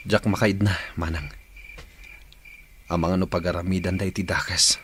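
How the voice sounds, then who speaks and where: A man's voice: low voice, steady tone, moderate pace, fairly bright tone, slight background noise. An actor with an Ilocano accent playing a troubled, reluctant young man in a radio drama. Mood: guilty, uneasy.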